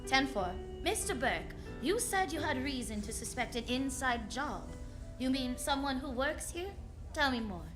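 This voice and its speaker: A woman's voice: high voice, expressive, measured pace, over music. A woman playing a wry, flirtatious, self-assured private eye in the style of 1940s radio drama, full of slangy quips. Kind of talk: 1940s radio drama acting with first-person narration.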